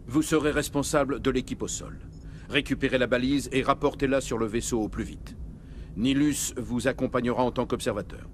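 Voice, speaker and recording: A man's voice: medium pitch, fairly even intonation, fairly quick, close to an everyday voice. Male actor as military starship captain, firm, formal, authoritative, game cutscene, faint noise behind. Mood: commanding. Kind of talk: video game cutscene acting in French.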